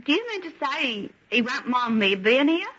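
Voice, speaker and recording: Cheeky runaway woman for radio drama: high, sharp, brash and restless, on a muffled noisy vintage recording. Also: big pitch swings, moderate pace, full character voice. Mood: awed, curious. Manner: colloquial, exclamatory, brash. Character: rough-edged working-class runaway woman, wide-eyed, cheeky, restless. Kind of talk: golden age radio drama acting.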